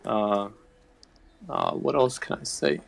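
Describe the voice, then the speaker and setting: A man's voice: on the low side, slow, gravelly. A man who is a guest content creator, chatting in a laid-back, casual way with easy slang. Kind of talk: casual podcast chat.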